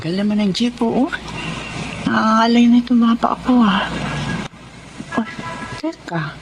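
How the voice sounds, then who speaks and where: A woman's voice: fairly high pitch, unhurried, background noise. A woman playing a caring, practical middle-aged schoolteacher and mother in a radio drama, earnest and nurturing as she advises. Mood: curious, concerned. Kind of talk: Tagalog radio drama acting.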